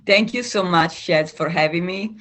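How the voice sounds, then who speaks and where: A woman from Italy with an Italian accent, a coach calmly explaining ideas as a guest over a video call. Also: fairly low pitch, normal speed. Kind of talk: explanatory interview talk.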